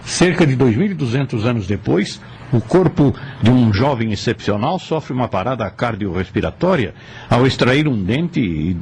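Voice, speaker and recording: A man's voice: medium pitch, a little mellow, a little hiss or hum. A man giving solemn, oratorical scripted narration for a radio drama.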